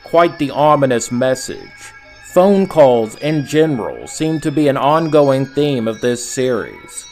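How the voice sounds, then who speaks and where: A man hosts a scripted horror commentary in a medium-pitched voice. His delivery is measured and story-like, with rhetorical questions and asides to the listener. Soft music plays behind him. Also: very animated. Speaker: video essay host and narrator.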